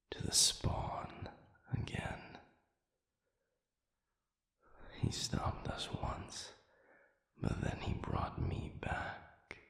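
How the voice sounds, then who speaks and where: A man playing an ancient, grave demon in a dramatic audio roleplay, speaking in a breathy half-whisper with halting, formal, solemn diction. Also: a bit bright, roomy sound. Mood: solemn, pleading.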